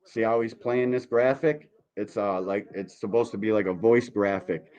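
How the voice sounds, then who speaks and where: A man's voice: on the low side, normal speed, darkish tone, clean tone, muffled recording. A man in his forties hosting a reaction livestream, giving blunt, profane, emphatic commentary full of rhetorical questions. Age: 40s. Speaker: podcast host reacting to a video.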